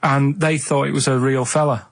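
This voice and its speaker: A man's voice: medium pitch, little pitch movement, moderate pace, no echo. Guy rambling thru some vague story on radio, keeps stopping n starting, dry close sound. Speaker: radio show regular telling a story. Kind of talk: casual comic storytelling.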